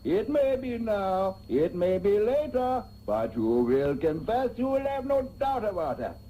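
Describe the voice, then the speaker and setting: A suave interrogator in a radio spoof, high-pitched for a man and swooping theatrically. He coaxes and threatens with slow, mock-polite patience. The sound is a muffled, hissy old tape. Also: big pitch swings, warm tone. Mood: smug, threatening. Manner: theatrical, drawn-out, coaxing threats. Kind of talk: satirical radio soap opera acting.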